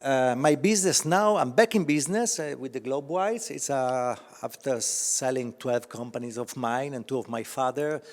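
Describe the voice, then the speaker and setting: A middle-aged man with an Italian accent introducing himself informally to open a panel as its moderator, speaking haltingly. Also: medium pitch. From Italy. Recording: echoey hall with long reverb.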